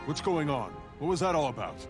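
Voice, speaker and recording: An actor playing a dutiful, resolute young Norn hero in a fantasy video game. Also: male voice, fairly high pitch, sing-song, a little fast. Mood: puzzled. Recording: game audio with music behind.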